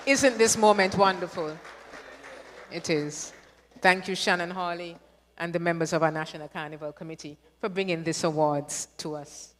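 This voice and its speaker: A woman's voice: mid-range voice, fairly bright tone. A woman hosting a live awards show, playful and chatty, asking the audience questions. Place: large hall. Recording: echoey hall with long reverb.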